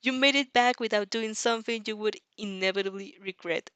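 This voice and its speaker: A woman's voice: mid-range voice, a little fast, fairly bright tone, clean tone, no room sound. A woman reading fan fiction aloud expressively, with casual asides to her listeners. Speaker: reader and fanfic reactor. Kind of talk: expressive story narration with casual reaction asides.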